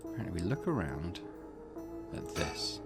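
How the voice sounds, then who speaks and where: Let's play host, man, lowish voice, animated, muses aloud and self-corrects. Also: normal speed, gravelly, over loud music. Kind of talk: game commentary.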